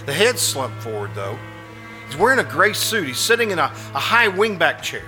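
A man's voice: fairly high pitch, very animated, moderate pace, sharp tone, soft background music, full character voice. A man playing an earnest, anxious, nosy neighbour, hesitant but insistent, in a live old-time radio suspense play. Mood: speculating. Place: live performance at a winery.

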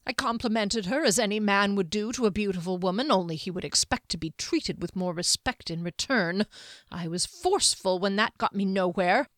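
A woman's voice: mid-range voice, normal speed, no echo, clean studio sound, lightly acted. A woman voicing a timid male henchman who whines and panics, his voice climbing toward a shriek. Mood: defensive.

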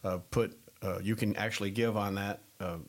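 A man's voice: on the low side, steady tone, normal speed. A man hosting a Bible podcast, chatting casually with many fillers and frequent laughs. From United States. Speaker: podcast host and pastor. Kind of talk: casual chat.

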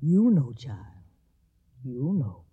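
A woman's voice: a bass voice, sing-song, slow pace, husky, narrow, tinny audio, exaggerated voice. A woman playing an older, motherly Southern mammy in a radio drama, plain-spoken and soothing. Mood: grave, consoling.

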